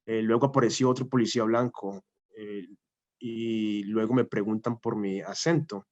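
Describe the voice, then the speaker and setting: Measured man, low voice, muffled. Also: fairly even intonation. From Colombia. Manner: measured, hesitant, many "eh" fillers. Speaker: guest lecturer. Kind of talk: academic talk with a personal story. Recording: muffled lo-fi audio.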